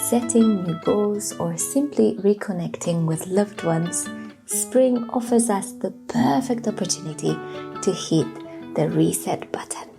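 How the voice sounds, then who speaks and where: Give the voice of a woman from Romania narrating her lifestyle vlog. She talks in a chatty, personal way at an average pitch for a woman. Guitar music plays clearly behind her. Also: a little slow. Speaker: lifestyle vlogger.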